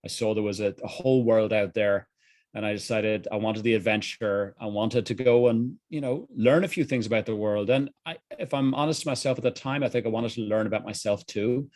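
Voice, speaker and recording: Low-voiced Dublin Irish man. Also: brisk, darkish tone, no echo, studio quality. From Ireland. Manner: candid, earnest, frequent restarts. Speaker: guest, executive coach. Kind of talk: reflective interview answers.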